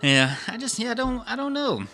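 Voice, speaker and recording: A man chatting casually as a podcast co-host, in a halting, rambling and hesitant way. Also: very high-pitched, big pitch swings, bright, forceful tone, faint music behind. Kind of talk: casual chat.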